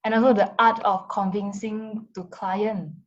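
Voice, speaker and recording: Woman moderator, chatty, filler-heavy, mid pitch, muffled call audio. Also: little pitch movement, unhurried. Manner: chatty, laughs often, many fillers. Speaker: moderator of an online design talk. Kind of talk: casual video call moderation. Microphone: video call.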